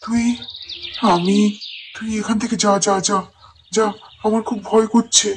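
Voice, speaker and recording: A man's voice: high voice, flat delivery, measured pace, a little hiss or hum. A man voicing a timid old woodcutter husband who frets and mutters to himself in fear. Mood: pleading. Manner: fretful, scared muttering to himself.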